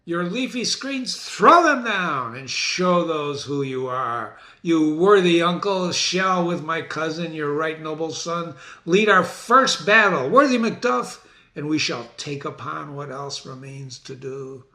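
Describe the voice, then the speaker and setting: A man's voice: fairly high pitch, expressive, slow, pushed and bright, echoey room, exaggerated voice. A man playing a commanding young army leader in a Shakespeare play, rallying troops in a rousing, declamatory way. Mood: resolute, rousing. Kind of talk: dramatic amateur Shakespeare reading.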